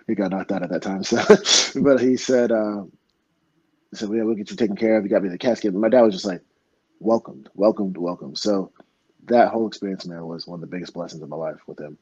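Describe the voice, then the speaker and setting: A man's voice: lowish voice, a little fast, darkish tone, slightly rough, no room sound, dull audio. A young American man, a professional fighter, talking earnestly in a casual interview, rambling with many restarts. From United States.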